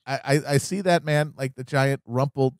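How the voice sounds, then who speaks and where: A man's voice: medium pitch, normal speed, no echo, studio quality. An American man hosting a podcast, talking casually about politics with a satirical bent. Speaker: podcast host.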